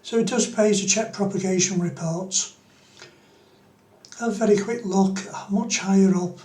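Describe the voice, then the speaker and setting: A man's voice: sits high, fairly even intonation, a little slow, mellow, roomy sound. A middle-aged man, giving an informal explanatory tutorial as a hobbyist. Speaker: amateur radio hobbyist presenting a tutorial.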